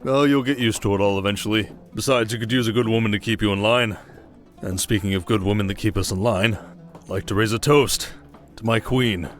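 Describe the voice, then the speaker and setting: A man acts out a young king in a fantasy audio drama. His voice sits a little low, with a stately delivery and a wry, teasing streak. Music plays softly behind him. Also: steady tone, a little fast, natural voice. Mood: warm encouragement. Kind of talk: audio drama acting, ASMR roleplay.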